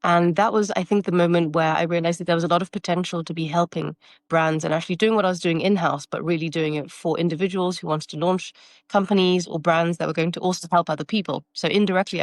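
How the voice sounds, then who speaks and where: A woman's voice: fairly low pitch, fairly even intonation, fast talker, no room sound, clean studio sound. A woman in her thirties who grew up in France, with Taiwanese as her first language, answering interview questions reflectively with fillers and restarts. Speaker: marketing consultant and guest.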